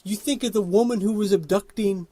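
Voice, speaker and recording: A man's voice: high voice, fairly quick, mellow. A man co-hosting a podcast, talking about a film in an earnest, analytical way and often summing up its themes. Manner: earnest, analytical, leads with questions and summaries. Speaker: podcast co-host. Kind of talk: casual conversational film discussion.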